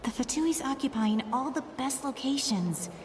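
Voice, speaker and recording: A woman playing a plucky, eager and competitive young girl scout in an animated video game style. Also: high voice, moderate pace, a little hiss or hum. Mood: focused. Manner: spirited, self-assured.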